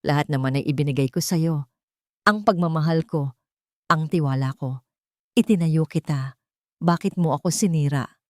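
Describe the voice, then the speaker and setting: A woman's voice: low voice, moderate pace, pauses often, no room sound, studio quality, natural voice. A woman voicing a betrayed wife and heiress in an audio drama, cool, dignified and controlled. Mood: deeply sad.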